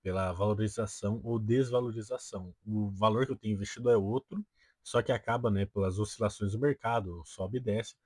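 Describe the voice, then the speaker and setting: A man's voice: on the low side, steady tone, fairly quick, a little mellow, breathy edge, dry recording. A twenty-year-old man with a Brazilian accent explains things casually to his viewers as a video host, often tagging his sentences with a check-in question. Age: 20 years old. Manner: peppers sentences with tag questions. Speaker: personal finance video host.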